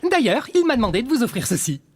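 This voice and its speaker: A smug prankster rabbit from a cartoon. The delivery is teasing and sarcastic, with a very lively, swooping pitch. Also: male voice, fast talker, very noisy. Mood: sly, teasing.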